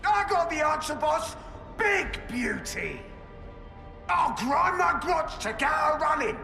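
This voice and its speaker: An actor playing an eager ork mechanic underling with a gravelly voice and coarse slang. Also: male voice, extremely high pitch, very animated, takes it slowly, sharp tone, full character voice. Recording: echoey game cutscene with music.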